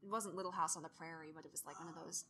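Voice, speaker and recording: A woman's voice: low voice, fairly even intonation, racing, pushed and bright, breathy edge. A woman in her early twenties giving an informal presentation as a student audio drama creator, rambling and self-deprecating. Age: early 20s.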